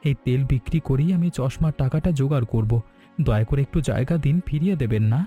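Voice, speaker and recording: Guy doing poor honest village lad selling oil, cartoon, polite n earnest, soft mellow tone, bit of music behind. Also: mid-range voice, fast talker, close to an everyday voice. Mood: pleading. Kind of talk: Bengali cartoon fairy-tale voice acting.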